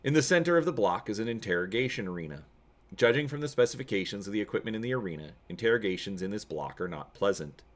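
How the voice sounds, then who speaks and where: Man, low pitch, dramatic story narration, expressive reader. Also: fast. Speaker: reader and host of a gamebook read-through. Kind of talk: dramatic reading of an adventure story.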